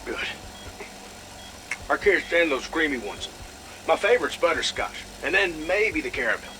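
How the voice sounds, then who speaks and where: An actor playing an easygoing, dutiful military pilot in a video game audio log, casual and plainspoken. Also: male voice, a little high, very animated, moderate pace, bright, forceful tone, a little hiss or hum, rough recording. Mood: casual, friendly.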